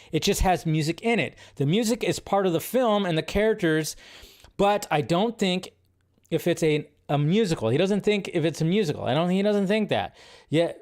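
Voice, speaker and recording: A man's voice: fairly high pitch, darkish tone. A man hosting a live movie news stream, talking casually in a loose, rambling way with lots of fillers.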